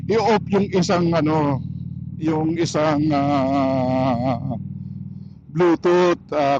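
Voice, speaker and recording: A Filipino man with a slightly high voice talks casually to his vlog audience. He speaks haltingly, often searching for the word. Faint vehicle noise runs behind him. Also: highs cut off. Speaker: motorcycle vlogger. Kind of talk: casual vlog chat while riding. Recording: steady vehicle and road noise.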